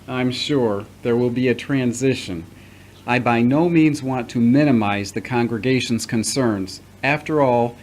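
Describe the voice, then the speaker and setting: An actor playing a patient, fatherly middle-aged priest in an audio drama, speaking in a measured, formal way. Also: medium pitch, lightly acted. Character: returning priest, patient, paternal, earnest, formerly accused. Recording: noticeable background noise.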